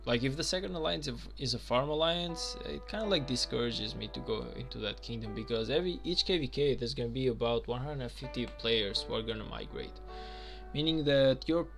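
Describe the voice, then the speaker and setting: A man's voice: medium pitch, expressive, a little fast, gravelly, over music. A young man from Albania, chatting casually on a game stream and answering viewer questions with lots of fillers. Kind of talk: casual live-stream chat.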